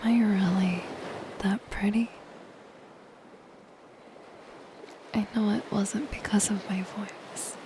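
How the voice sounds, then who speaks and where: A soft, breathy siren, played by a woman whispers very slowly in an ASMR roleplay. She is gentle and shy, yet coyly possessive and menacing. Ocean waves wash clearly behind her voice. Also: medium pitch, steady tone, natural voice. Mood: shy, wistful. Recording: ocean surf noise behind the voice.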